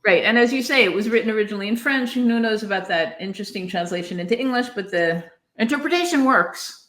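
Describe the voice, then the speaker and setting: A woman's voice: mid-range voice, fast, fairly bright tone. Emphatic middle-aged woman, casual literary talk. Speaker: podcast co-host.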